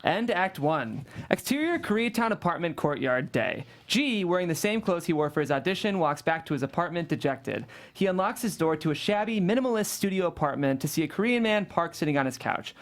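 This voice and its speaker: A man's voice: a little high, big pitch swings, a little fast, hardly any pauses. Man narrating script directions. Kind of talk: scripted narration at a table read.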